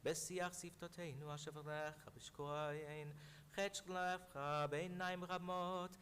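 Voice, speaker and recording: A man chanting a prayer in a synagogue sanctuary, with a lively melody that rises to soaring high notes. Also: very fast. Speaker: cantor. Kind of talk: liturgical chanting. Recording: long hall reverb, faint noise.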